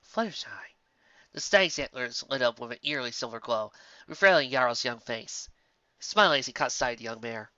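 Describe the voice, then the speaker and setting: Storybook narrator: expressive scripted reading, bright tone, close dry room, somewhat dull audio. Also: mid-range voice, brisk, no room sound. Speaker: narrator, fan fiction reader.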